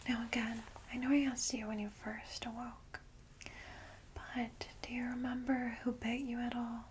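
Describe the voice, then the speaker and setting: ASMR roleplay: woman playing a kindly vampire mentor, whispered and breathy, very even delivery, lightly high, gentle and reassuring. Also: moderate pace, a little hiss or hum, lightly acted. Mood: gently official. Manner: gentle, reassuring, hesitant asides. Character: friendly female vampire guide, centuries-old, caring and reassuring.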